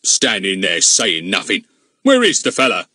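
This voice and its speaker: A man's voice: on the high side, big pitch swings, measured pace, dry recording, studio quality, voice pushed up, exaggerated voice. A man voicing a burly, bullying village policeman who blusters and bellows orders at a boy. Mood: blustering. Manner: loud, blustering, commanding.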